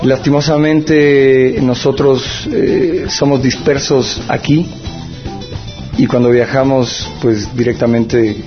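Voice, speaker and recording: A man's voice: medium pitch, fairly even intonation, takes it slowly. A man from Ecuador, a rock musician, speaking reflectively in a recorded interview clip. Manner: reflective, conversational. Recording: dull audio with music under.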